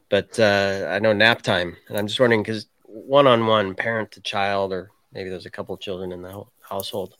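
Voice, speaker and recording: Male co-host, low voice, easygoing rambling interview style, dry sound. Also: fairly bright tone, no echo. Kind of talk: casual interview chat.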